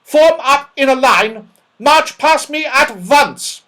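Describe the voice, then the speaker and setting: A fierce old schoolmistress from a children's storybook , acted out by a man. The voice is pushed high and loud, snapping out orders in a cross, commanding manner. The delivery swoops dramatically. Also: expressive, slow, heavily acted. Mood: furious shouting.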